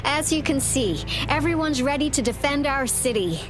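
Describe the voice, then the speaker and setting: A woman's voice: sits high, lively intonation, moderate pace. A woman playing a composed, reassuring young official in a game cutscene. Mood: assured. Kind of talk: dramatic game cutscene acting. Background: music.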